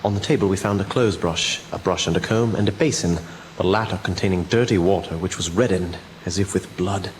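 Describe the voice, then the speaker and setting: Man playing a sober, low-voiced vampire hunter. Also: in a large hall, close to an everyday voice. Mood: grim. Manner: measured, formal, grave. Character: sober male vampire hunter reporting grim findings. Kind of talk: radio drama narration, formal report. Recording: very noisy radio play recording.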